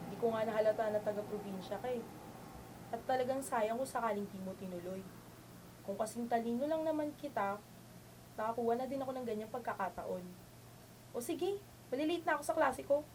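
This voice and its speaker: A woman's voice: on the high side, fairly quick, long pauses, darkish tone, slight background noise, close to an everyday voice, rough recording. A woman playing a friendly, frank young city dormmate in an amateur radio drama, chatty and candid.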